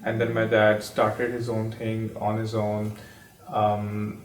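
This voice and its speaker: A man's voice: on the low side, monotone, slow. A man in his thirties from Pakistan, sharing a personal story in a hesitant, reflective way with many fillers and restarts. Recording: echoey room, distant mic.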